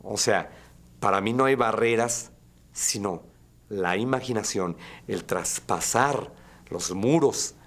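A man's voice: on the low side, takes it slowly, a bit bright. A man in his forties with a Mexican accent, a radio host talking conversationally in an interview. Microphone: lapel mic.

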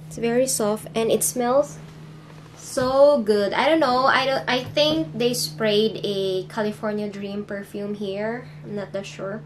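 Young woman, Filipino accent, unhurried, hesitant, chatty, slightly high voice, bedroom vlog on a camera mic. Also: noisy background. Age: young adult. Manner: searches for English words, hesitant and chatty. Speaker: vlogger. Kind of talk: casual haul vlog. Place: bedroom indoors.

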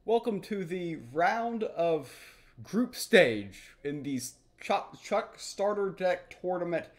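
A man's voice: high voice, animated, slow pace. A young man giving casual, explanatory game commentary in a rambling, matter-of-fact way. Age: young adult. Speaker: gaming video host. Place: small home room, indoors.

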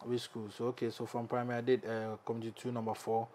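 A man's voice: mid-range voice, completely flat delivery, fast talker, darkish tone, a little hiss or hum. A young man from Ghana with a Ghanaian accent, a guest in a studio chat, boastful and teasing. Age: young adult. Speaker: musician guest. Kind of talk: casual interview chat. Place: small recording studio indoors.

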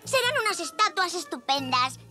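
Bratty gloating moon villain girl, super high, swoopy, cartoon. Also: sing-song, normal speed, loud music behind the voice. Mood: taunting. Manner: taunting, possessive, theatrical. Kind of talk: children's cartoon dub.

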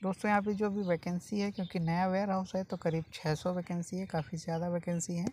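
A man hosts a job-vacancy channel, explaining an opening to his viewers in a steady, friendly voice-over. His tone is mellow and relaxed. A faint hiss of noise sits under the recording. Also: high voice, fast talker. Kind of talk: informal informational voice-over. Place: voice-over over a phone screen recording.

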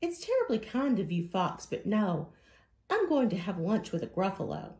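A woman's voice: medium pitch, sing-song, moderate pace, a little mellow, echoey room, natural voice. A woman voicing a small, clever, boastful mouse in a storybook, with polite, theatrical delivery.

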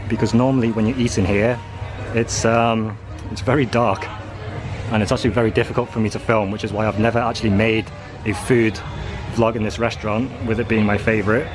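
A man's voice: lowish voice, little pitch movement, a little fast, music in the background. A man giving a casual walking video tour as a travel vlogger, talking as he shows viewers around. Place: walking around a busy cruise ship, indoors and on open decks. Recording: busy, noisy public ship ambience.

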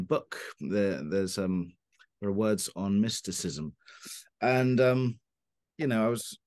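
A man, a philosopher answering interview questions over a video call from his book-lined study, halting with many fillers and restarts. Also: lowish voice. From Cornwall, England. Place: home study lined with books. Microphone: video call webcam.

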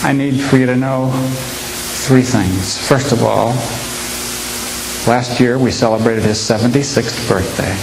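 A man in his fifties giving a humorous speech to a live audience, telling stories with timed pauses and punchlines. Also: mid-range voice, gravelly. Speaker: humorist speaker. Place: large hall with an audience. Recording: echoey hall recording with hiss.

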